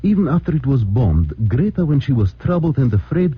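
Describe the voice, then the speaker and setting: An actor playing a grave, devout immigrant man, husky, halting and formal, in a radio drama. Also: mid-range voice, very animated, dry recording. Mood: solemn, hopeful. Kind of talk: 1940s-50s radio drama acting. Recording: muffled old radio recording.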